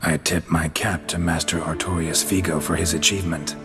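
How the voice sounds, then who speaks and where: A man acts a gruff, middle-aged monster hunter for a video game. His voice sits very low and gravelly. He speaks in a dry, clipped, deadpan way, with music clearly playing underneath. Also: brisk, lightly acted. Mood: flat reading aloud.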